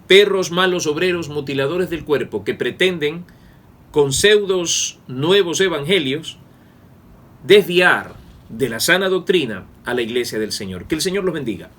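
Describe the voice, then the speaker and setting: Preacher, male, higher register, earnest, leans on words for weight. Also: a bit bright. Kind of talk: Bible study sermon.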